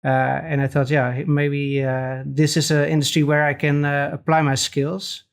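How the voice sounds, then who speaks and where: Dutch-accented man, flat voice. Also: fairly high pitch, gravelly, clear, resonant tone. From Netherlands. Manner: hesitant, many pauses and restarts. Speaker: podcast guest. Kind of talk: casual interview chat.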